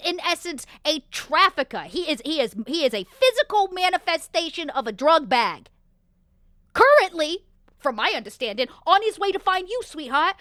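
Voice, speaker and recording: High, animated woman, close and dry. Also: dry recording. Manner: emphatic, explanatory, talks in short pointed questions. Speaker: player in a tabletop roleplaying game. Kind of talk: in-character improvised roleplay with game chat.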